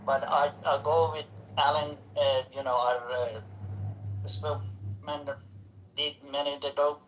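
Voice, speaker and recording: A man's voice: a little high, flat delivery, a little slow, a bit bright, a little hiss or hum, poor audio. A man from Iran with an Iranian accent, Farsi his first language, arguing earnestly in broken English on a political call-in discussion.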